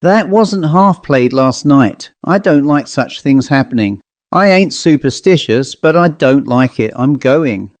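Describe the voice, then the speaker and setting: A man's voice: mid-range voice, lively intonation, measured pace, no echo, close to an everyday voice. A man voicing an anxious, insistent woman in a dramatised storybook reading, with urgent, emphatic questioning. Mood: uneasy, determined. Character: anxious, insistent woman searching for her missing niece.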